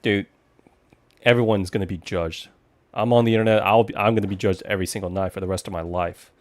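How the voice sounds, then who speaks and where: A man's voice: lowish voice, fairly even intonation, quick pace, a little mellow. A man doing casual let's play commentary on a horror game, tossing out wry, teasing replies to it. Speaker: streamer playing a horror game.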